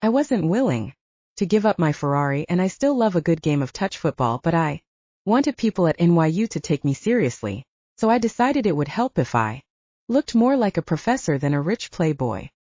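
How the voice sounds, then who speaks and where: Woman voicing earnest, husky male werewolf professor. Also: fairly low pitch, very animated, moderate pace, warm tone, no room sound. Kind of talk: audiobook character dialogue. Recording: dull, lo-fi audio.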